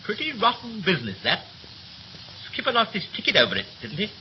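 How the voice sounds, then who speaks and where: An actor in a 1930s radio play as a young third officer, brisk and slangy, chatty yet scornful and resentful. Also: male voice, sits high, wildly swooping pitch. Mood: bitter, indignant. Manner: brisk, breezy, clipped slangy speech. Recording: muffled, hissy 1930s radio recording.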